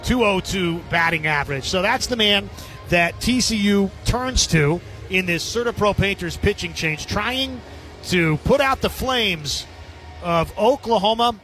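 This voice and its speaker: High-pitched, bright male baseball announcer. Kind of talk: live radio sports commentary.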